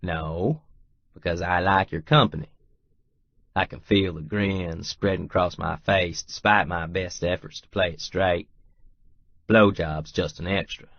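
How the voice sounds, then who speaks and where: Man acting a slow-drawling Texas oilman. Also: sits low, creaky, dry recording, dull audio, full character voice. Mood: teasing, amused. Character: stubborn, wry, lovestruck Texas oilman cowboy narrating his romance. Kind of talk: first-person audiobook narration with dialogue.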